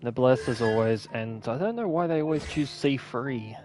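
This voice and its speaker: A man's voice: mid-range voice, lively intonation, moderate pace. Male gamer, mid-range voice, casual, offhand asides, gameplay commentary, prominent music behind. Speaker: video game player.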